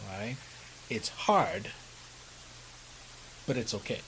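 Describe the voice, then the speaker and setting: A man's voice: lowish voice, very slow, low-quality recording. Middle-aged man with hissy background. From Bahamas. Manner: emphatic, checks in with "right?". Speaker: podcast guest, personal development speaker. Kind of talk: conversational interview answers. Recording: steady background hiss.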